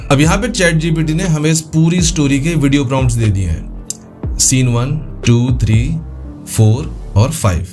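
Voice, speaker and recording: A man's voice: mid-range voice, a little mellow. A man hosting a casual step-by-step tutorial. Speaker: tutorial host. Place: voice-over for a computer screen recording.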